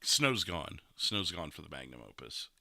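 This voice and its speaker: A man's voice: a bass voice, sing-song, moderate pace, vocal fry, bright, forceful tone, dry recording, clean studio sound. A man hosting a casual livestream discussion, explaining and commenting in a conversational way. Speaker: host, livestreamer.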